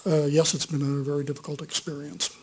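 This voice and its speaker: A middle-aged man giving hesitant, soft-spoken testimony as a witness on a courtroom microphone. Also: a little high, little pitch movement, quick pace, noisy background. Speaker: trial witness.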